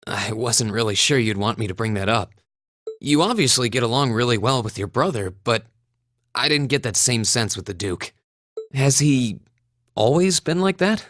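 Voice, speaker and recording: Game role: kind young student hero male actor, mid-range voice, very lively pitch movement, dry studio recording. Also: moderate pace, no echo, lightly acted. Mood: sympathetic, gentle. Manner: earnest, casual, considerate. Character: earnest, kind young student from a humble noble family. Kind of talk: English-language game voice acting.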